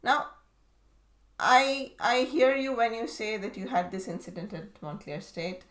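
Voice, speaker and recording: A woman's voice: fairly high pitch, lively intonation, a little slow, fairly bright tone. Woman interviewer in an echoey room. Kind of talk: conversational interview.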